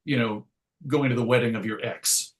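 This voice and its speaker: A man speaks as the guest in an interview, in a middle-pitched voice. He talks conversationally and thoughtfully, working through his explanations and often reaching for an analogy. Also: fairly even intonation, moderate pace. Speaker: interview guest, writer and radio host.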